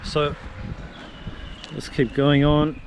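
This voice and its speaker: A man's voice: a little high, little pitch movement, very slow. A man giving casual, musing commentary as he walks outdoors through rural countryside, filming on a handheld camera. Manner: chatty, muses aloud while exploring. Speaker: travel vlogger. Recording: outdoor camera audio with noise. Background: wind and outdoor ambience.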